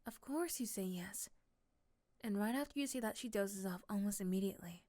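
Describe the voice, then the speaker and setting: A woman softly narrating a scripted second-person ASMR story, hushed and often close to a whisper. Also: medium pitch, brisk.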